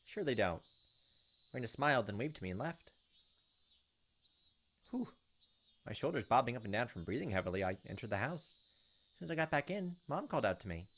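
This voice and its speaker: Casting: male let's-play narrator, brisk pace, expressive visual novel reading with offhand commentary. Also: medium pitch, slight background noise. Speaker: gaming YouTuber reading a visual novel aloud. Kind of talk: expressive narration with casual asides.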